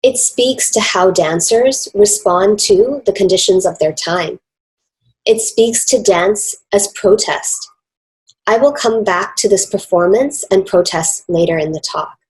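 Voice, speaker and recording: A woman with a North American accent reads a scripted academic talk in a formal, measured and deliberate way. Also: mid-range voice, lively intonation, darkish tone, poor audio. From Canada and the United States. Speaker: academic speaker, author and dance researcher.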